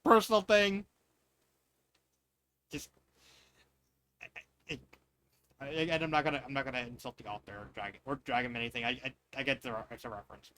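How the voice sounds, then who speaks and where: A man's voice: mid-range voice, brisk, long pauses, pushed and bright, slight background noise. A man reading fan fiction and giving casual, rambling commentary, often repeating and restarting his phrases. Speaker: fan fiction reader and reviewer.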